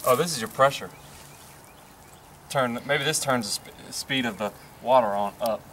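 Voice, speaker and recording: A young man joking around and guessing out loud while poking around an old truck outdoors, picked up by a nearby camera mic. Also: medium pitch, moderate pace, a little hiss or hum. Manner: playful, clowning, guessing out loud. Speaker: explorer in a junkyard video. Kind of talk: casual joking banter. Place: outdoor rural junkyard.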